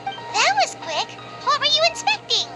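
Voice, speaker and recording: A tiny, chirpy fairy sidekick from a video game. The voice is squeaky, childlike and extremely high, leaping around in pitch, with loud music underneath. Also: female voice, big pitch swings, measured pace, heavily acted, low-quality recording. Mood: curious, cheerful. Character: small chirpy childlike female fairy companion.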